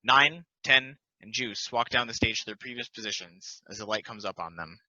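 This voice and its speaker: A man's voice: medium pitch, normal speed, pushed and bright, no echo. A man reading stage directions as scripted narration for a play's table read. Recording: dull, lo-fi, dry close sound.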